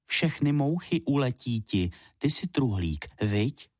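A man's voice: mid-range voice, very animated, darkish tone, dry recording, studio quality. A man voicing a stern father spider who scolds his child in a rhymed children's poem. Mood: scolding. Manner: scolding, rhyming recitation.

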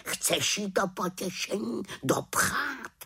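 A woman voicing a grave male talking raven in a storybook, croaky, drawn-out and reproachful. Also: medium pitch, sing-song, sharp tone, pitched above the performer's own voice, full character voice. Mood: offended, sarcastic.

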